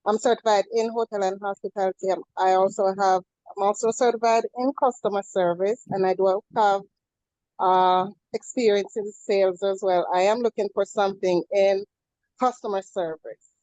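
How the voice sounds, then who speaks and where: A woman's voice: medium pitch, fairly even intonation, moderate pace, mellow, no echo, rough recording. A woman introducing herself on a video call, friendly, often restarting her sentences. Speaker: event attendee, job seeker.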